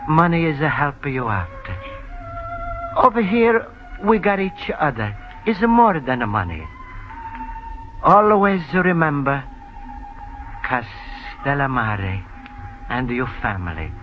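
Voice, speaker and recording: An actor playing a gentle, timid Italian immigrant shopkeeper with an Italian accent and broken English, in 1940s radio comedy style. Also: male voice, mid-range voice, sing-song, takes it slowly, long pauses, music in the background. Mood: tender. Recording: dull old radio broadcast with faint hiss.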